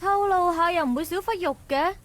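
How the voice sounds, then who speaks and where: A very high, teasing young troublemaker. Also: female voice, a little fast. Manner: playful, taunting. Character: cheeky young woman arrested, teasing the police. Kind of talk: voiced visual novel dialogue.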